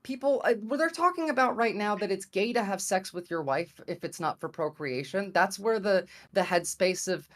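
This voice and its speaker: A woman's voice: mid-range voice, expressive, moderate pace, few pauses. A woman with an American accent making a debate argument in a conversational way, sometimes searching for words. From grew up in America. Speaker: debate guest. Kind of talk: argumentative debate opening statement.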